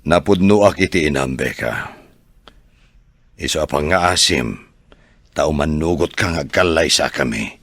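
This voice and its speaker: A man's voice: extremely low pitch, expressive, measured pace, long pauses, a bit bright, dry recording, clean studio sound, full character voice. A man playing an earnest suitor in an Ilocano radio drama. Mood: earnest, solemn.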